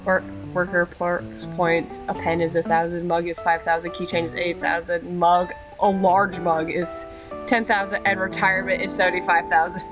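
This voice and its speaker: A woman's voice: fairly low pitch, flat delivery, moderate pace, over music. A woman with a slight cold, chatting casually while playing a video game, with lots of fillers. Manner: chatty, filler-heavy, giggly. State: has a cold. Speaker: let's play streamer. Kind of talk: casual gameplay commentary.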